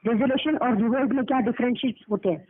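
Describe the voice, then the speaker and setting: A woman's voice: fairly high pitch, fast talker, husky. A woman arguing as a customer's advocate on a recorded phone call, lecturing and questioning, repeating phrases for emphasis. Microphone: telephone.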